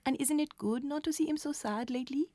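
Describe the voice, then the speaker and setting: A woman's voice: high voice, fast, dark tone, no room sound, close to an everyday voice. A woman playing a kindly French hotel maid, soothing and coaxing, with a French accent, in a children's audio drama. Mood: coaxing, reassuring.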